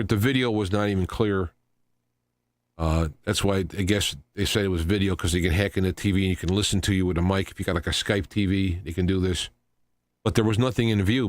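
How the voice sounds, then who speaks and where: A man hosting a radio talk show, giving an unscripted commentary in a halting, rambling way, often repeating and restarting his phrases. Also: fairly low pitch, no echo. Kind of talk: unscripted news commentary monologue.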